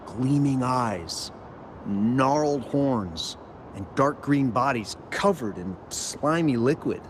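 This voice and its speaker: Animated, chatty game miner. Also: male voice, medium pitch, slow, noisy background. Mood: spooked, eager. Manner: animated, chatty, hesitant in places. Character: friendly excitable male miner, eager eyewitness. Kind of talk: video game story dialogue. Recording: music clearly behind the voice.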